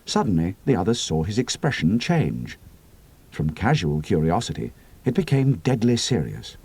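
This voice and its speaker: A man narrating an adventure audiobook in a measured, expressive storytelling style, close to the mic. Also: lowish voice, breathy edge. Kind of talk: scripted narration.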